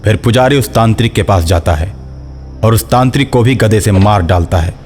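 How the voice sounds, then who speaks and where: A man's voice: on the low side, moderate pace, a little mellow. A man narrating a scripted horror folk story as a storyteller. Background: faint music.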